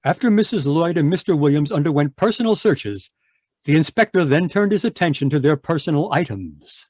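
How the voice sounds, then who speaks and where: A man's voice: a little high, animated, brisk, a little mellow, no room sound. A man narrating and announcing a radio mystery in old-time style.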